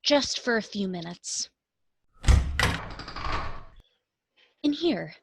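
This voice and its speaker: A woman's voice: mid-range voice, lively intonation, unhurried, gravelly, background noise. An actress in a radio play, playing a concerned, formal woman who is polite but urgent.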